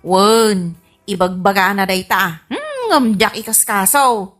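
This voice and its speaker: A woman with an Ilocano accent playing a fussing, easily shocked adult daughter in a comedy radio drama, questioning and expressive. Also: medium pitch, slow, faint music behind. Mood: shocked, fretful.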